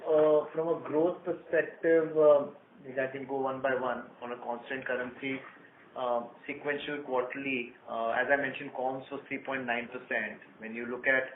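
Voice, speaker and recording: Man on a noisy phone line. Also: medium pitch. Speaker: company finance executive. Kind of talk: earnings call remarks and answers.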